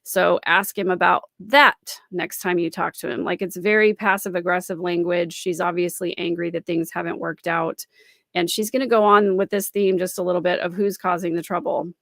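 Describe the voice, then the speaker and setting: A woman's voice: fairly low pitch, level tone, moderate pace, vocal fry, a bit bright, dry recording. Woman commentator, close dry sound. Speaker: host and commentator. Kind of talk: live-stream commentary analysing a recording.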